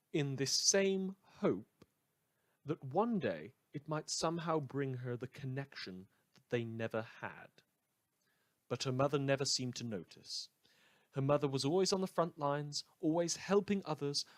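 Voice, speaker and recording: Man acting as villainous pirate radio host, theatrical storyteller, lively swooping pitch, dry close sound, dramatic emphasis. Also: no room sound. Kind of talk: dramatic scripted radio monologue.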